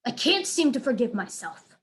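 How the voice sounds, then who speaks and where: A woman voicing a small scrappy male dog in a children's storybook, informal and earnest, halting when embarrassed. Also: fairly high pitch, big pitch swings, voice pushed up. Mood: guilty. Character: Bob, small scrappy male dog, awkward and soft-hearted.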